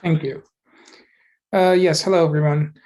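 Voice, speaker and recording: Higher-pitched man, deliberate speaker. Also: fairly even intonation, a little mellow. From Egypt. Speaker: community deputy speaking in a debate. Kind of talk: speech to an online meeting.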